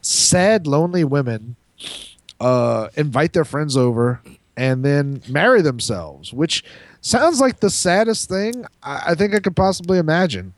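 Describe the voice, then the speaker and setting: A man co-hosting a podcast, talking in a halting, rambling, profane way with crude opinions. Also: a little high, very animated, no room sound. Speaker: podcast co-host. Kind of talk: casual, crude opinionated group banter.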